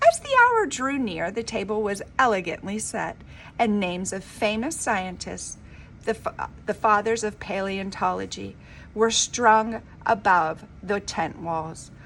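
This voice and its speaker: A woman reading a children's storybook aloud like a teacher, with an expressive, emphatic delivery. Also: mid-range voice, measured pace. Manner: dramatic, emphatic delivery with informal asides. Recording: clearly noisy homemade recording.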